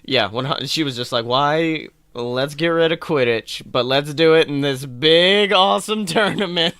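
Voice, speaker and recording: A man co-hosting a casual film chat podcast, talking in a jokey, animated way and swearing freely. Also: a little high, measured pace, smooth. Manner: animated, jokey, acts out imagined dialogue. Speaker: podcast co-host. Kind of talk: casual chat about films.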